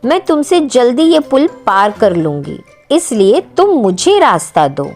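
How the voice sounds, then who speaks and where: A stubborn, boastful nanny goat from a children's storybook, acted out by a woman narrator. She makes her demands in a lively, raised voice at a measured pace, with music behind. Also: on the high side. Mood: scornful boasting. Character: proud, stubborn female goat boasting of strength.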